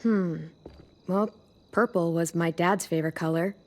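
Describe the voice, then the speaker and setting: Woman playing a low-voiced, wry teenage girl. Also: fairly even intonation, unhurried, a little mellow, natural voice. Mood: calm. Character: teenage girl survivor, wry, guarded yet tender. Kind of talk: video game voice acting.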